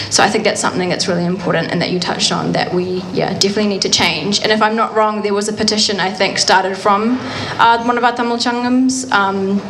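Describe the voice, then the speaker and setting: A woman's voice: mid-range voice, rough recording. Casual New woman moderating. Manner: casual, filler-heavy, encouraging. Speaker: panel moderator. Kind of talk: moderating a panel discussion.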